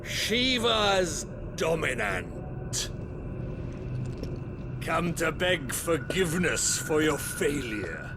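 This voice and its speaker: An actor playing a haughty, cruel religious leader in a fantasy game, speaking with theatrical contempt. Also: male voice, a little high, big pitch swings, noisy background, heavily acted. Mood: contemptuous. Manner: slow, contemptuous.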